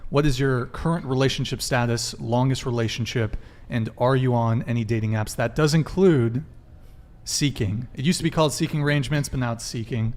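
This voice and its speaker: A man's voice: mid-range voice, a little fast, darkish tone. A man hosting a live talk podcast, casually addressing his viewers. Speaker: podcast host.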